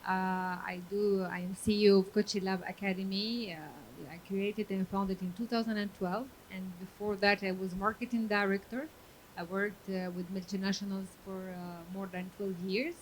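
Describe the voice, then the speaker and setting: A woman from Morocco speaks as an in an even, level tone. She is hesitant and uses many fillers, and a faint hiss sits under the mic. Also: medium pitch, moderate pace, low-quality recording. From Casablanca, Morocco. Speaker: executive coach, panelist. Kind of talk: live panel introduction. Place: conference stage.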